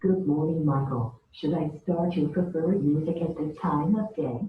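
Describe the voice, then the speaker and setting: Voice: medium pitch, normal speed, recorded from a distance, slight background noise, close to an everyday voice. A polite in-car voice assistant, played by a synthetic voice. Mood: neutral. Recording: tinny, echoey clip played from afar.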